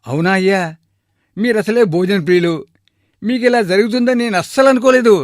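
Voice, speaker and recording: A man's voice: high-pitched, a little fast, no echo, studio quality, natural voice. A man playing a deferential but plain-spoken shop servant in a cartoon moral story. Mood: concerned. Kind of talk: animated moral story dialogue.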